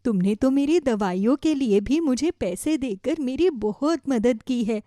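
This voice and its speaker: A woman voicing a kindly, grateful old grandmother in a children's story, gentle and affectionate. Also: sits high, animated, unhurried, no room sound, studio quality.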